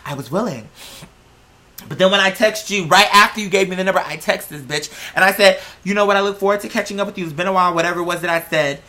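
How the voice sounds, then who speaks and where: A man's voice: high-pitched, fast talker, sharp tone. A man telling personal stories in a casual, slangy rant, with a sassy, confrontational and emphatic manner.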